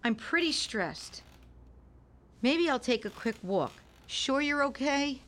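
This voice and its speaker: An actress playing an anxious, weary, gentle mother of a premature newborn in a radio play, speaking earnestly and hesitantly. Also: high voice, expressive, takes it slowly, full character voice. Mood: worried, stressed.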